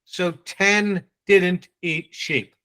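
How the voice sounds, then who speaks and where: A man with a New England accent leading a live online sales training, quizzing and teasing his audience in a brisk way. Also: sits high, fairly even intonation, pushed and bright.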